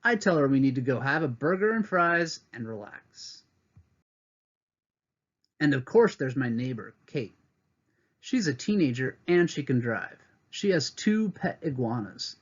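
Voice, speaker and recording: A man's voice: mid-range voice, very animated, a little slow, lo-fi sound. A man teaching a read-aloud lesson to children, reading a picture book and adding teacherly asides from home.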